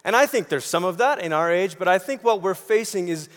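Male preacher from Malaysia, high register, conversational sermon with repeated phrases, big echoing hall sound. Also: lively intonation, fairly quick, a bit bright. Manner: conversational, rhetorical questions, repeats phrases for emphasis. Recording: long hall reverb.

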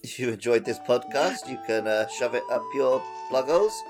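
A man's voice: mid-range voice, expressive, moderate pace, over music. A man hosting a podcast in a casual, chatty way. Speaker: podcast host.

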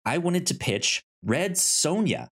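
A man's voice: a little high, very animated, slow, a crowd in the background. A man co-hosting a podcast in a casual, chatty style, often stammering on the first syllables of words. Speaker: podcast co-host. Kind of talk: casual chat, pitching comics and reading blurbs aloud.

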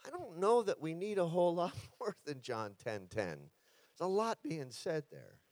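A man's voice: fairly high pitch, big pitch swings, a little slow, dry recording. A man in his fifties preaching a sermon as a guest pastor, then praying aloud with rising fervor, declaring each line. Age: 50s. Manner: builds to loud fervent declarations in prayer. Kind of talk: sermon and prayer.

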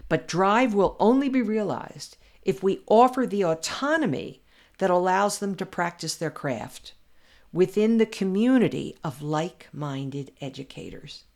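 A woman commentator reading her own essay aloud in a measured, deliberate way with emphatic pauses. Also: fairly low pitch, darkish tone. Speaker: podcast commentator.

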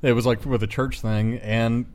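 Male podcast co-host, medium pitch; informal, wry, teasing conversational delivery. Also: level tone, normal speed, a little mellow. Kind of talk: casual chat, banter.

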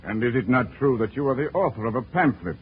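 An actor playing an accusing, stiff French court physician in a 1940s radio drama, formal and challenging. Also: male voice, medium pitch, lively intonation, fast talker, close to an everyday voice. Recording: tinny, noisy vintage radio broadcast.

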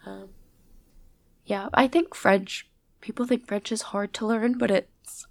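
Young woman from the us, gravelly voice, chatty, lots of likes, dry sound. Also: medium pitch, fairly even intonation, slow, darkish tone, no echo. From USA. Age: young adult. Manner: chatty, many "like" fillers. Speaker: guest. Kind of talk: casual conversation.

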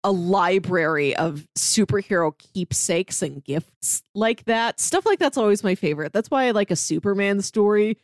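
Lively woman chatting, dry close sound. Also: mid-range voice, normal speed, few pauses, no echo. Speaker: podcast co-host. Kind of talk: casual comic book discussion.

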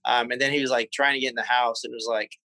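Southern man in his thirties, bright tense voice, dry close sound, interview guest recalling his childhood. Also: medium pitch, flat delivery, no echo, low-quality recording. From South Carolina. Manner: halting, repeats words while recalling memories. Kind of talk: casual interview conversation.

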